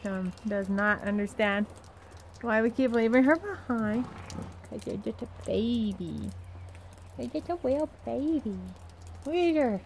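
A woman's voice: fairly high pitch, expressive, a little slow, darkish tone, slight background noise. A young woman in her twenties chatting casually to her phone camera at a barn, talking fondly to her horses between her comments. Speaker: vlogger, horse trainer. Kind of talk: casual vlog chat and voice-over. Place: outdoors at a barn and paddock. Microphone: phone held at arm's length.

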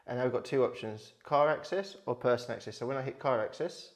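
A man's voice: medium pitch, quick pace. A man in his thirties explaining an installation in a matter-of-fact, instructional way, like a tradesman presenting a walkthrough. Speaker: smart home installer presenting his company's work.

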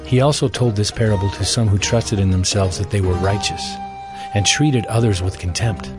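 Man as formal audio Bible narrator, solemn, fairly low, measured, heavy music bed. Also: lightly acted. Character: solemn male narrator of scripture. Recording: very loud background music.

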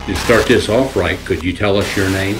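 Low-voiced man questioning spirits over hissing static. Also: normal speed, music in the background, low-quality recording. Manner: short, spaced-out, plain questions. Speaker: host of a paranormal investigation. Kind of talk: questioning spirits in a ghost box session. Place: small domestic kitchen. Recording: loud hissing static behind voice. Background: hissing radio-sweep static noise.

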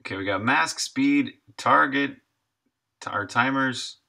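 A man's voice: medium pitch, measured pace, vocal fry, bright, forceful tone. A man in his thirties chatting casually and thinking aloud while he codes on a live stream, sometimes muttering to himself. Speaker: game developer live streaming.

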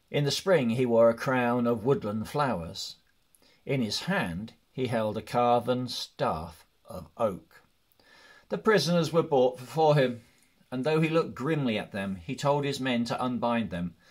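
Slow-paced man reading a storybook. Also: mid-range voice. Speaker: audiobook reader. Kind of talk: storybook narration.

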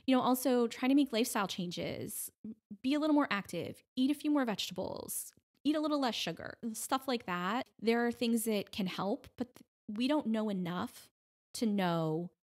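A middle-aged woman speaking conversationally as an interview guest, telling her story in an animated way and often voicing quoted remarks. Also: a little high, normal speed. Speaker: podcast guest, breast cancer advocate.

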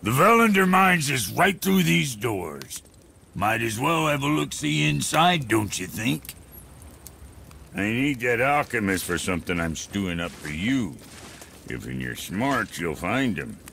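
Raspy, brash dwarf. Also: male voice, medium pitch, very animated, measured pace, bright, forceful tone, full character voice. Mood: grumbling, needling. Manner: folksy, blunt, needling. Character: brash, gruff male dwarf blacksmith, grumbling haggler. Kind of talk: video game character acting.

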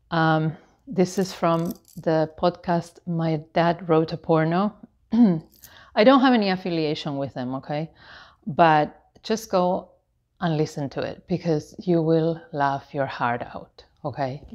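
A woman of about fifty chatting casually to camera as a lifestyle vlogger, in a halting, meandering, explanatory way. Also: low-pitched, a little mellow, highs cut off. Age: 49, about to turn 50. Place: living room with tiled floor, indoors. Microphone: clip-on lapel microphone.

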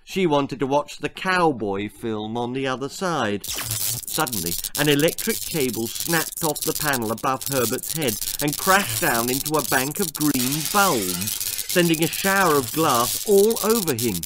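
A man in his sixties reading a children's story dramatically, with an expressive, measured, suspenseful delivery. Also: medium pitch, noisy background. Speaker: author reading own story. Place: small book-lined room at home. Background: music.

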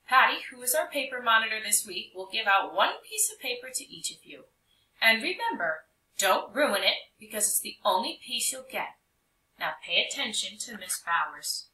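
A strict first-grade schoolmistress from a storybook, as a woman reader plays her. She is firm and emphatic, with a sharp, bright voice that moves up and down. The room echoes around her. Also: on the high side, very animated, normal speed. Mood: instructing. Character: stern, bossy first-grade woman teacher. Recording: roomy echo, distant mic.

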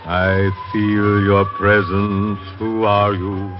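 An actor playing a pompous, crooked séance professor in a 1940s radio drama. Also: male voice, fairly low pitch, little pitch movement, drawn-out words, loud music behind the voice. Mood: solemn, theatrical. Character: phony séance professor, pompous crook, theatrical trance medium. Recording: muffled 1940s radio recording.

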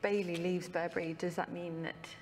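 A woman hosting a studio panel discussion, leading the guests with quick questions. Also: on the low side, fairly even intonation, darkish tone, in a large hall, slight background noise. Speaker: panel host.

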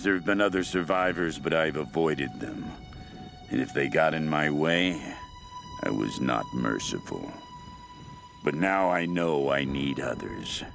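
A man's voice: a bass voice, gravelly, heavily acted. An actor playing a fanatical, mad cult leader obsessed with a queen, delivering a brooding, menacing diary monologue for a video game. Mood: brooding, cold, then commanding, ruthless. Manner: slow, brooding, menacing, intense.

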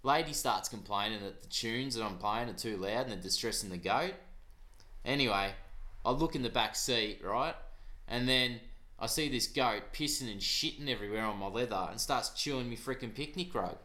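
A man's voice: mid-range voice, normal speed, pushed and bright, lightly acted. An actor playing a naive, eager young Australian neighbour who rambles through his stories. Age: young adult. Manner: naive, earnest, rambling storyteller. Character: dim eager young Aussie neighbour, gullible. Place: small suburban flat indoors.

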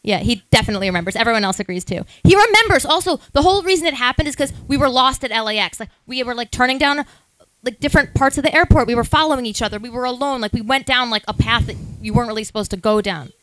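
A woman's voice: a little high, lively intonation, fast talker, a bit bright, dry recording, rough recording. A woman in her thirties, a podcast co-host, telling a long rambling story with lots of asides at a live event.

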